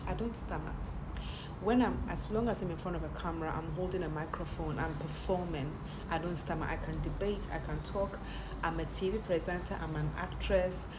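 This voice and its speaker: A woman talks frankly to camera in a personal monologue, often repeating words and restarting her sentences. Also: lowish voice. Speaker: content creator and TV presenter. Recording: steady background noise.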